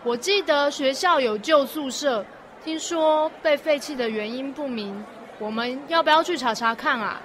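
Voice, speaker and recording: A woman's voice: sits high, moderate pace, fairly bright tone, some chatter behind, slight background noise. A woman playing a bold, curious, thrill-seeking schoolgirl with a Taiwanese accent in a student radio drama. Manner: teasing, eager.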